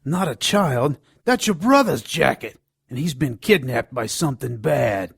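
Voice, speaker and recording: A seedy gnome informant in an audio drama. The voice is husky and full of fry, at an unhurried pace. He leers and wisecracks with sardonic relish. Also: medium pitch, big pitch swings, no room sound, full character voice. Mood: intense, sly. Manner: leering, wisecracking. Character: lecherous, sardonic gnome informant with keen supernatural nose.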